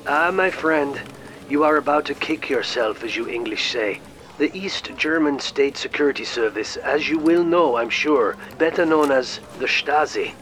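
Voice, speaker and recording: A man voicing a smooth, sly middle-aged foreign male spy who talks in a suave, condescending way. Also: on the high side, normal speed, fairly bright tone, voice pushed up, natural voice, low-quality recording.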